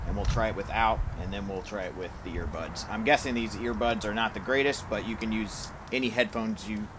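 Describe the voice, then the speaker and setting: A man's voice: on the low side, steady tone, fairly quick. A man giving a casual, matter-of-fact product review and test outdoors, talking as the reviewer. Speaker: toy blaster reviewer. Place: outdoors in a yard by a pond. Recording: faint outdoor background noise.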